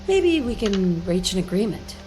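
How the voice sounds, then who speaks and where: A woman's voice: medium pitch, big pitch swings, moderate pace, music in the background, lightly acted. An actress playing a determined, pleading mother searching for her child in a video game. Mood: coaxing. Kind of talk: video game dialogue acting.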